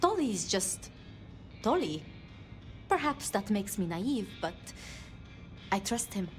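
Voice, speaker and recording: An actress playing a modest, unsure vampire apprentice in a video game, speaking haltingly and self-deprecatingly. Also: female voice, mid-range voice, very animated. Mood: pensive. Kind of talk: video game dialogue acting. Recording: music behind the voice.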